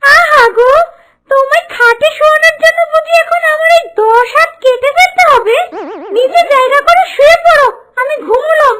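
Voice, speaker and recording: A woman's voice: very high-pitched, moderate pace, bright, forceful tone. A woman voicing a scheming man-eating ghost wife with chatty, sly manners in a cartoon. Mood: exasperated. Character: scheming ten-armed female ghost wife, man-eater. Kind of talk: cartoon voice acting.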